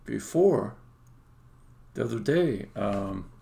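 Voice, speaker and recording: Guy live coding on stream, low gravelly voice, kinda mumbling thru his thoughts, bit of noise n key clicks behind. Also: lively intonation, takes it slowly, dark tone. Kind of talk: casual live-coding commentary, thinking aloud. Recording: faint background noise with keyboard clicks. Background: computer keyboard typing and clicking.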